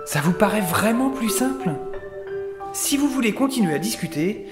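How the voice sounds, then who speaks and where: A young captive scientist, acted by a man, mouthy and sarcastic, protests in casual, indignant phrases. His voice is high for a man and plays over clear music in an audio drama. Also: lively intonation, normal speed. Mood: agitated, protesting. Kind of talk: scripted audio drama acting.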